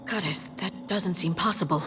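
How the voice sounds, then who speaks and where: A woman's voice: lowish voice, normal speed, lightly acted. A woman voicing an earnest, scholarly alien scientist in a video game, explaining things carefully. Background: background music.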